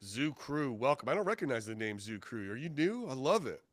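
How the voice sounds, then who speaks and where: A man co-hosting a live podcast stream, talking in a low, laid-back drawl and chatting casually with his co-host and viewers. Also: very animated, fairly quick.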